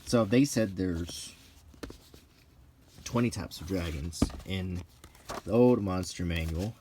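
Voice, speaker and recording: Middle-aged male, low-pitched, casual unscripted ASMR-like delivery, musing and pausing, noticeable background noise. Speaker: channel host. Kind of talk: unscripted casual book comparison, unintentional ASMR.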